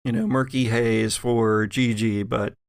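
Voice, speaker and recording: A man narrates a tabletop horror game as its game master. His mid-range voice has a little gravel and moves slowly, in deliberate dramatic phrases. The sound is dry and close. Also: medium pitch, fairly even intonation, no echo. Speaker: game master and podcast host.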